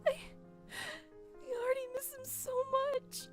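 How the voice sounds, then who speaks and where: Woman voicing a gentle, very high-pitched young pony. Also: steady tone, slow pace, soft background music, full character voice. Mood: tearful, moved. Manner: tender, hesitant. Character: gentle, emotional young female pony, Fluttershy. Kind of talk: animated fan audio drama acting.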